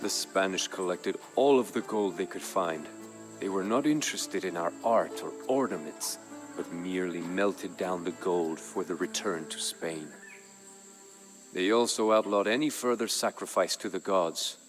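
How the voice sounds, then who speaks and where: A grave Aztec warrior tells of his people's fall in a low, deep voice. The delivery is measured, proud and dramatic, in the manner of a strategy game storyteller. Music plays clearly behind the studio narration. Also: low-quality recording. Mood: grave, brooding. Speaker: campaign narrator.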